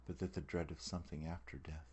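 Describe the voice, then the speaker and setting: A man performing a Shakespearean soliloquy as a brooding, world-weary prince, measured and declamatory. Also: extremely low pitch, steady tone, darkish tone, husky, heavily acted. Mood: rising anguish. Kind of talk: dramatic soliloquy reading, Shakespearean verse.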